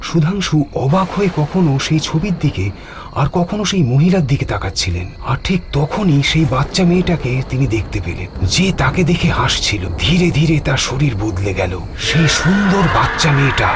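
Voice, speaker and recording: A man narrating a scripted horror story in a dramatic, suspenseful manner. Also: medium pitch. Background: music.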